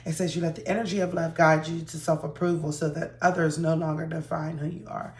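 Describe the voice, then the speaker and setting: A hoarse woman giving a relaxed, chatty tarot reading to her viewers, with frequent ums. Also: deep voice, a little fast, echoey room, a little hiss or hum. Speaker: tarot reader.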